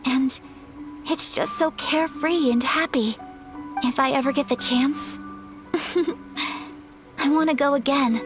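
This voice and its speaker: A woman voicing a graceful young noblewoman, soft-spoken, polite and earnest. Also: high-pitched.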